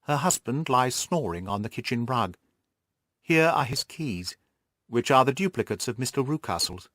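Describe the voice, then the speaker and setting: A man acts out an earnest young woman from an audiobook mystery. She is anxious yet resourceful, speaking with animated pitch in a dry, close recording. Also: moderate pace, no echo, lightly acted. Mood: matter-of-fact. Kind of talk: audiobook character dialogue.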